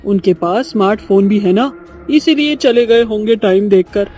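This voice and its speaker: A man's voice: sits high, normal speed, darkish tone, faint music behind, lightly acted. A man voicing a scheming, deferential witch henchwoman in a cartoon horror story. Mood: cheeky. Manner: deferential to the boss.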